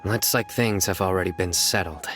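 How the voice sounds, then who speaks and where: Low-voiced, serious enforcer. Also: male voice, normal speed, fairly bright tone, soft background music. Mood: calm, serious. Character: composed, serious male law enforcer. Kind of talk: video game voice acting.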